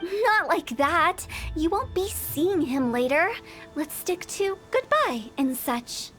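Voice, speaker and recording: A mischievous young funeral director with a very high, bright voice. She teases, giggles and makes morbid jokes with glee. Faint game music sits behind her. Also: animated, takes it slowly, full character voice. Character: playful, macabre young woman funeral director. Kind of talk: game cutscene voice acting.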